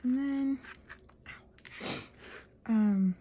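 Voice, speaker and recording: A woman's voice: sits high, drawn-out words, lo-fi sound, slight background noise. A woman chatting casually in a whimsical, digressive way while she does crafts. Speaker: craft vlogger.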